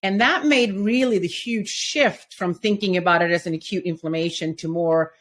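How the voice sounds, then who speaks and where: A middle-aged woman from Sweden, a physical therapy professor, answering interview questions in a measured, explanatory way. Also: fairly low pitch, a bit bright.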